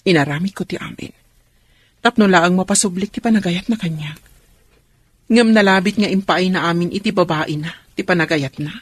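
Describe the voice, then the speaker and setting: A woman's voice: lowish voice, normal speed, husky, no room sound. A woman with an Ilocano accent acting in a family radio drama. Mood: hurt, confiding.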